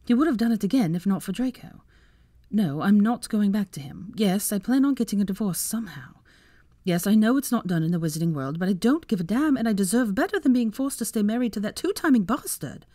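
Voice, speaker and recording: A woman's voice: medium pitch, expressive, a little fast, mellow, dry recording, clean studio sound, pitched above the performer's own voice, lightly acted. A woman voicing a hurt, angry, betrayed wife in a story, earnest, emphatic and resolute. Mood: indignant, resolute.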